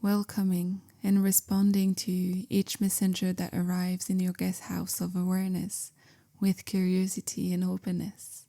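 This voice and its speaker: A woman leads a guided meditation with gentle, measured calm. Her voice is mellow and even in pitch, settling into a soft creak. Phrases often trail off into a breathy near-whisper. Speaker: meditation guide, yoga teacher. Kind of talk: guided meditation, slow soothing instruction.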